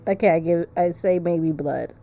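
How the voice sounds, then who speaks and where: A woman's voice: fairly low pitch, normal speed, dark tone. A woman streaming a visual novel, chatting casually and reading the story text aloud.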